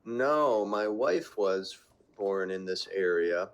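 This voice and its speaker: A man's voice: fairly low pitch, lively intonation, slow pace. A man from central Vermont, a podcast guest, chatting in an easygoing, rambling way. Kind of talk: casual chat.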